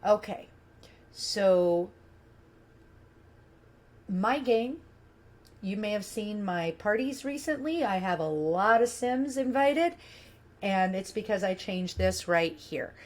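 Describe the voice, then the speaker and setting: A woman's voice: mid-range voice, expressive, takes it slowly, pauses often. A middle-aged woman hosting a casual screen-recorded tutorial, chatty and friendly as she explains each step, recorded on a webcam microphone in a small room. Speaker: tutorial host.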